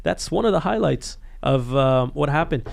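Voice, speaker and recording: A man's voice: a little high, normal speed, gravelly. Young guy from bangladesh cohosting a podcast, blunt and fired up, lots of ums and restarts. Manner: blunt, animated, many fillers and restarts. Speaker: podcast co-host. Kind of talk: casual chat.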